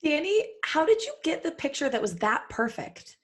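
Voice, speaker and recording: A woman's voice: high-pitched, sing-song, moderate pace. A woman co-hosting a casual, joking live podcast, chatty and prone to giggling. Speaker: podcast co-host.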